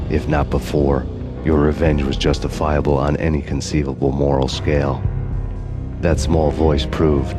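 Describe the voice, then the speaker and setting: An actor playing a weary, hard-boiled male cop seeking revenge, narrating in a deadpan, brooding noir style. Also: rumbling low. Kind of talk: noir video game narration. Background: game music.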